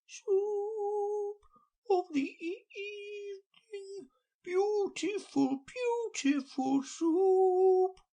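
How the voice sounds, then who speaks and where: A man voicing a melancholy storybook turtle creature, singing slowly in a sobbing, mournful way. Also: very high voice, fairly even intonation, mellow, voice pushed up, exaggerated voice.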